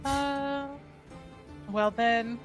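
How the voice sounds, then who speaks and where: A woman speaking slowly and carefully, roleplaying her character at a tabletop game. She hesitates between phrases as she asks her questions. Quiet music sits in the background. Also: on the high side, steady tone. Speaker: player.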